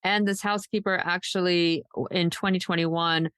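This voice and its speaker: Woman podcast host just chatting, kinda low voice, really dry sound, loose unscripted talk. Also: fairly even intonation, moderate pace, fairly bright tone, clean tone, dry recording. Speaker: true crime podcast host. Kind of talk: casual co-hosted podcast chat.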